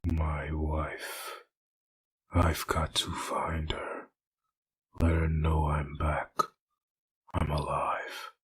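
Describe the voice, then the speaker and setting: A man's voice: extremely low pitch, completely flat delivery, extremely slow, pauses often, a bit bright, slightly rough, no room sound, exaggerated voice. A man voicing a haunted, brooding male antihero in a murmured inner monologue for a fan comic dub.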